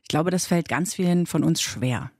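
A woman's voice: deep voice, normal speed, a little mellow, dry recording, studio quality. A woman in her thirties talking casually and chattily as the co-host of a podcast, in everyday colloquial language. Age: 30s. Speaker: co-host, fitness beginner and working mother. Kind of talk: casual conversational podcast talk.

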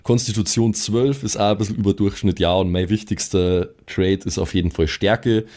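A man's voice: fairly low pitch, normal speed, a little mellow. A man casually talking as a player in a tabletop role-playing game, presenting his character.

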